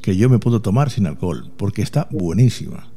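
A male late-night radio host speaks in a low, mellow voice. He is easygoing and affectionate with callers and backs them up with steady agreement. Faint music plays behind him. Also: fairly quick.

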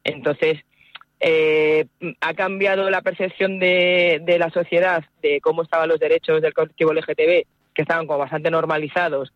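A woman's voice: sits low, level tone, normal speed, no room sound. A woman in her forties, born and raised in Madrid, speaks as a spokesperson in a radio interview. Her talk is earnest and full of fillers and restarts. The sound is dry and dull, like a lo-fi line. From Madrid, Spain. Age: 40s. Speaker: activist spokesperson.

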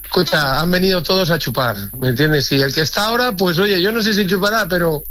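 Guy from northern spain w high voice, chatting casually, emphatic, keeps repeating himself. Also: quick pace, a bit bright. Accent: northern Spanish. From Cantabria, northern Spain. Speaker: guest, animal feed seller. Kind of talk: casual chat.

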